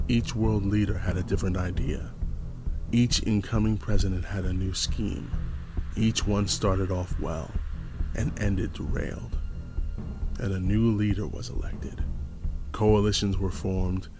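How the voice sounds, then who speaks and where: A man delivering dramatic scripted narration for a dystopian fantasy, solemn and measured, with deliberate phrasing. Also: deep voice, fairly even intonation. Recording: music behind the voice. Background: background music.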